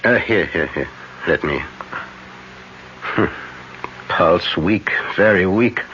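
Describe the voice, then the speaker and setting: An actor playing a shrewd older Dutch professor in a 1970s radio drama, authoritative and deliberate. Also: male voice, low-pitched, expressive, a bit bright, heavily acted. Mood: urgent. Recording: dull vintage radio recording with faint noise.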